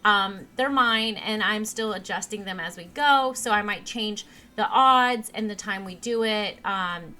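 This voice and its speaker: A young woman with a naturally monotone voice, casually narrating her gameplay with plenty of ums and restarts. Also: medium pitch. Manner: rambling, with many ums and restarts. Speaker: let's play host. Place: home computer setup. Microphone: desk mic replacing a broken headset.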